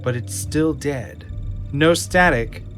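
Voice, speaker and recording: Slow, measured male narrator. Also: fairly high pitch. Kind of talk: scripted screenplay narration. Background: soft music.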